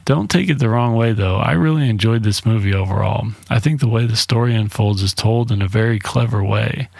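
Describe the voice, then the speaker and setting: A man's voice: mid-range voice, gravelly, darkish tone, dry recording. A man hosting a solo podcast, giving a casual movie review in a blunt, informal way with some swearing. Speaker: podcast host.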